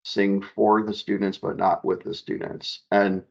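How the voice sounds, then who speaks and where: A low-pitched man with a gravelly edge speaks in a relaxed, chatty way, full of little fillers. He sounds like an elementary music teacher answering questions as a guest. The audio comes through a dull, lo-fi video call. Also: measured pace. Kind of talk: casual video call conversation.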